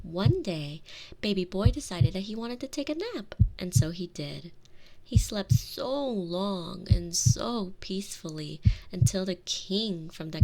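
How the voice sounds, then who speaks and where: A tender, protective girlfriend played by a woman lulls her partner in an intimate ASMR roleplay. Her medium-pitched voice is soft and soothing, slipping toward whispers. She lingers on phrases like a bedtime storyteller, close to the microphone. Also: poor audio. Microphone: close binaural microphone.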